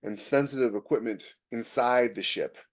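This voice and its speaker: A man's voice: mid-range voice, normal speed. A man co-hosting a podcast, explaining things conversationally as a science fiction writer, with sentences that often trail off and restart. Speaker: podcast co-host and military sci-fi writer. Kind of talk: casual podcast discussion.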